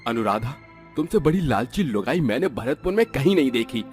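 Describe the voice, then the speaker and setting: A man's voice: mid-range voice, very animated, fairly quick, darkish tone, soft background music, lightly acted. A man playing an honest, hesitant cowherd husband in a folk tale, earnest and reasoning. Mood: reproachful, doubtful.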